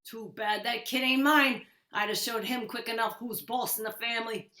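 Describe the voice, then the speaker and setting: A woman playing a wisecracking, henpecked working man in a slangy, animated 1950s radio-drama style. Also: fairly high pitch, normal speed. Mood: joking.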